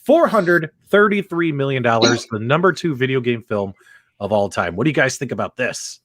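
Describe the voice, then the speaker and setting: A man's voice: medium pitch, expressive, moderate pace, a bit bright, dry recording. A man hosting a live-streamed panel, chatting casually, joking and quizzing the others.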